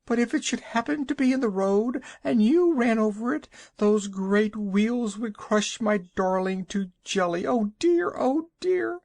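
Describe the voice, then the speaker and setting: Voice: mid-range voice, a little slow, creaky, few pauses, darkish tone, no room sound, voice pushed up. A reader voicing a panicky village woman who wails and sobs over tiny mishaps. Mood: frantic. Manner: wailing, sobbing, frantic.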